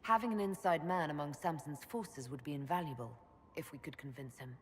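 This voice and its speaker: An actress playing a composed, authoritative heroine in a video game, direct and formal. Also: female voice, low-pitched, a little fast, natural voice. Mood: questioning. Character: composed, authoritative female leader, a mage heroine. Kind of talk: dramatic video game cutscene acting. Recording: hall reverb with background noise.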